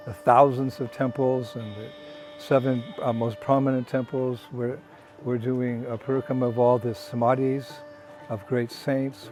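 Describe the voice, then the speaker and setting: An older man, a traveling monk, speaking in a soft, halting way with many pauses and restarts, outdoors on a walking pilgrimage. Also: mid-range voice. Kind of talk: casual spoken reflection.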